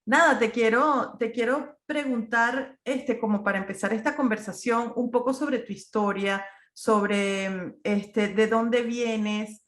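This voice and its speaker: A woman's voice: on the high side, fairly even intonation, takes it slowly, hardly any pauses, a bit bright, a little hiss or hum. A woman hosting a podcast interview, friendly, with frequent "este" fillers. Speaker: podcast host. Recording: roomy echo.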